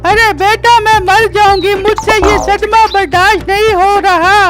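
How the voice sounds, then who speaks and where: Worried mother for animation played by a woman, high-pitched, fretful, principled. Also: fairly even intonation, unhurried, over loud music. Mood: distraught. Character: anxious, honest mother of grown son.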